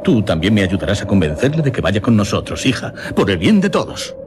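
Worried, secretive father, low and animated, earnest radio drama delivery over noise. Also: fairly quick. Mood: urgent, protective. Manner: urgent, evasive, earnest. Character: worried, secretive, affectionate father of a grown daughter.

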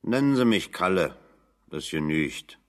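An actor playing a stocky, blunt metalworker in a radio drama, gruff, plain-spoken and wryly sardonic. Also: male voice, sits low, very animated, a little slow. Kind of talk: German radio drama dialogue.

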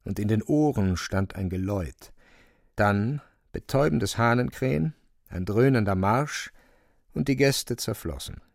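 An actor narrating a dark fantastic radio play as a grave, watchful storyteller. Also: male voice, fairly low pitch, expressive, a little slow, gravelly, no room sound, studio quality, exaggerated voice. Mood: ominous wonder.

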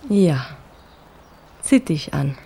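An actress playing a calm, teasing jazz singer in a naturalistic radio drama. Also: female voice, low-pitched, very slow, gravelly, slight background noise, heavily acted. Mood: calm, matter-of-fact.

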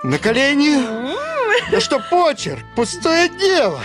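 An actor playing an awkward, boastful provincial schoolteacher in a radio comedy, halting and pompous. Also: male voice, very high-pitched, huge pitch swings, over music, full character voice. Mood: defensive, boastful. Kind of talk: radio play comedy acting.

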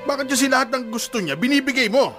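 A man's voice: very high-pitched, expressive, fast. An actor playing a stern, exasperated middle-aged father in a radio drama, with music behind.

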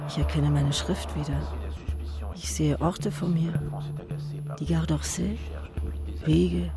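An anguished waiting woman, measured, music behind. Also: low voice, steady tone. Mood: brooding. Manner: measured, introspective, short fragmented sentences. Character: anguished woman waiting for her husband's return from the camps. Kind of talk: literary radio drama monologue.

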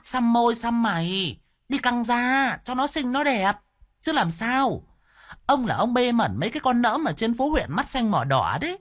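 A man voicing a sharp-tongued, shrewish village wife who scolds shrilly. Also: very high-pitched, voice pushed up, exaggerated voice.

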